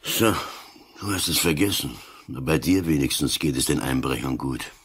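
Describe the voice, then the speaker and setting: Old inspector for radio crime play: extremely low, husky voice, stoic, wry, terse delivery. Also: male voice, moderate pace. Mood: dry, probing. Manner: dry, terse, probing. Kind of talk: German-language radio drama acting.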